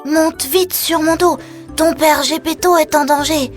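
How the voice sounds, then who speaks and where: A high-voiced messenger pigeon acted by a woman from a children's storybook. The bird is helpful, earnest and urgent, with faint music behind. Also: steady tone, unhurried.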